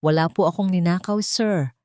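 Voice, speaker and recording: A woman's voice: fairly low pitch, moderate pace, no room sound, studio quality, close to an everyday voice. A woman voicing a humble, frightened young maid, speaking meekly and respectfully in an audio drama. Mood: pleading. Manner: meek, soft but firm, respectful.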